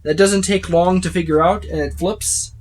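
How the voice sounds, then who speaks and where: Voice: medium pitch, fairly even intonation, moderate pace, a little hiss or hum. Casual, rambling tabletop rulebook reviewer. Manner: rambling, repetitive, emphatic itemizing. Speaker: tabletop game book reviewer. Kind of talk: casual unscripted overview of a rulebook.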